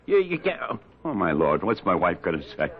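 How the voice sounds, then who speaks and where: Pleading stammery colonial scoundrel, low voice, dull vintage radio drama recording. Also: male voice, wildly swooping pitch, brisk. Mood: flustered. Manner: stammering, protesting, folksy. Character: flustered roguish colonial scoundrel, pleading and folksy. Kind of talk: 1970s radio drama acting.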